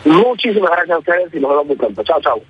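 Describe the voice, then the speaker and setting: A Cuban-born man talking fast with a husky, breathy voice. It comes through a dull, muffled radio line with faint music behind. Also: a little high, very animated. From Cuba. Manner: quick, eager promotion. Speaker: actor, interview guest. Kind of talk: radio interview.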